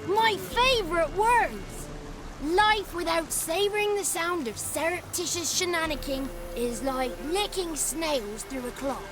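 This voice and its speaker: A woman's voice: very high voice, lively intonation, unhurried. A woman voicing a cheeky, childlike male swamp creature in a video game, talkative and theatrical, with boastful, florid wordplay. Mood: gleeful. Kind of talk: video game character acting.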